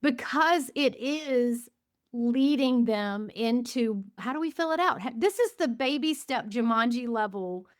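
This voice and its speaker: A woman in her fifties, a guest on a podcast, telling stories with animated shifts in pace and frequent self-quotes. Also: fairly high pitch, no echo, studio quality. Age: 50s. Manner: enthusiastic storyteller, quotes herself often, speeds up and slows down. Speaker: guest, gratitude coach and author. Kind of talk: conversational podcast interview.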